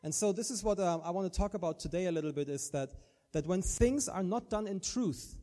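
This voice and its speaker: A man's voice: high voice, brisk, mellow. A man from Germany with a German accent, preaching an earnest sermon and often restarting his words.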